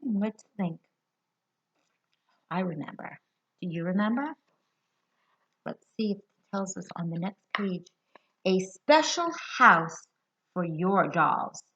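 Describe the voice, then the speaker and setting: Slow, bright-voiced American woman reading to children. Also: mid-range voice. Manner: expressive, deliberate, addresses young listeners with questions. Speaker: children's hospital educator reading a picture book. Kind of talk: children's read-aloud storytelling. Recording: dull lo-fi sound.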